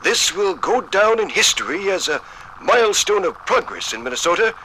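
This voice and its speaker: An actor playing a proud, formal nineteenth-century judge in a 1950s radio dramatization, solemn, deliberate and oratorical. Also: male voice, sits high, sing-song, pushed and bright, heavily acted, low-quality recording. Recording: vintage radio recording with faint noise.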